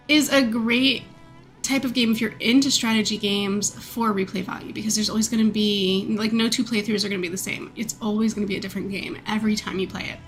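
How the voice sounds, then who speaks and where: A woman in her thirties chats at her desk mic while streaming, her voice on the higher side and noticeably nasal from a stuffed-up nose, with a faint croak. She rambles easily through fillers and quick laughs, and soft music plays faintly underneath. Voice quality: a bit croaky. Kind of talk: casual chat with viewers.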